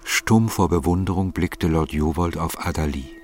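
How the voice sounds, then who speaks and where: A man reading scripted literary narration in a measured, formal manner. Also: sits low, soft background music.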